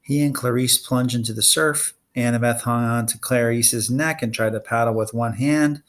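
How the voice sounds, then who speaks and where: A man reading a children's fantasy novel aloud in expressive audiobook-style narration. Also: medium pitch, moderate pace. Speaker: reader of a children's fantasy novel read-along.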